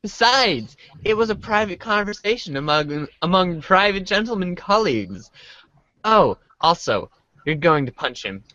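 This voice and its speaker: Woman playing a lively, bemused teenage boy. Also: lowish voice, unhurried, dry recording, muffled recording. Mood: hesitant. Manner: hesitant, casual, bemused. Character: laid-back, slightly awkward teenage boy, good-natured and bemused. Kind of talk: amateur reader's theater.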